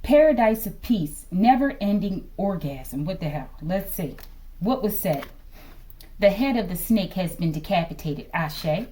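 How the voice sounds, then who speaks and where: A woman giving a casual tarot reading to her viewers, musing aloud and often ending phrases low as she addresses them. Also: lively intonation, a little slow, dark tone, rough recording. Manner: musing, repeatedly addresses listeners as collective, trails off low at line ends. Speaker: tarot reader.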